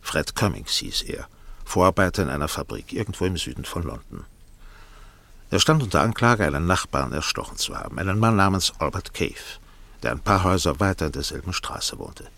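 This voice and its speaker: Gravelly, low-voiced, worldly barrister. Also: male voice, animated, fairly quick, fairly bright tone, exaggerated voice. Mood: reflective, intent. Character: worldly, self-assured English defence barrister recalling cases. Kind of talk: German radio drama acting.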